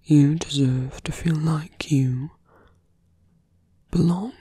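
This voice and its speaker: A man playing a seductive, brooding fantasy villain in an intimate ASMR roleplay, coaxing softly in a breathy, husky whisper with lingering pauses. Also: a little high, fairly even intonation, slight background noise. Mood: tender, possessive.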